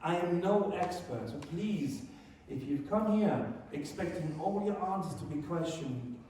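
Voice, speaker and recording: A German-born teacher leads a workshop in a conference room, joking at his own expense between points. His voice sits fairly high for a man and rings around a very echoey room. He is picked up from a distance, with faint noise underneath. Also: animated, a little slow, mellow. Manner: self-deprecating, joking.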